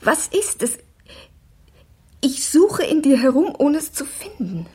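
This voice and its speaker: An actress playing an anxious young woman in a radio drama, speaking in a hushed, halting inner monologue that is often whispered. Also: high-pitched, big pitch swings, full character voice. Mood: troubled, intimate. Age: young adult.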